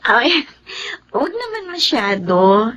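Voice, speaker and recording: An actress playing a gentle, easily swayed young lady of a wealthy house in a radio drama, with a Filipino accent. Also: fairly high pitch, big pitch swings, slow pace, exaggerated voice. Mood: gentle, kindly.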